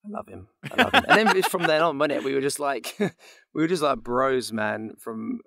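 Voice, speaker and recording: A British man in his late twenties with a bright, sharp voice, recorded very dry. He chats casually as a guest, rambling through jokey anecdotes. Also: mid-range voice, expressive, normal speed, creaky, no echo. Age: late 20s. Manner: joking, rambling storyteller. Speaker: podcast guest, voice actor. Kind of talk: casual chat.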